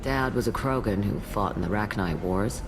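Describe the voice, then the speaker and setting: A woman's voice: extremely low pitch, normal speed, background noise. A woman playing a world-weary, foul-mouthed old alien matriarch bartender in a video game, with sardonic, dry delivery. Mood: wry. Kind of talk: video game character acting. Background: loud background music.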